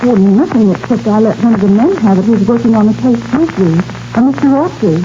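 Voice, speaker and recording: Polite, measured daughter in a radio western, female actor, higher voice, noisy 1940s broadcast recording. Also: animated, dull audio, lightly acted. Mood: polite, helpful. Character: polite, composed grieving daughter of a prospector. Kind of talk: 1940s radio drama acting.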